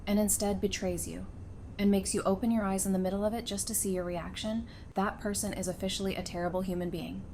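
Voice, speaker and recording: A woman acting a sassy, warm-hearted character in an audio drama, with casual, teasing delivery. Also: medium pitch, fairly even intonation, fast talker, lightly acted. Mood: playful, teasing. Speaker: voice actor in a fan audio drama. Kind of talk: acted audio drama dialogue. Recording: faint background ambient noise.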